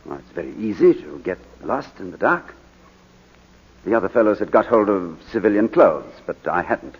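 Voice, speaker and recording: Defensive army vet being grilled in court, husky, stammers, muffled old 1940s radio. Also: male voice, on the low side, very animated, full character voice. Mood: guarded. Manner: halting, stammering, indignant. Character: defensive, proud male war veteran under cross-examination.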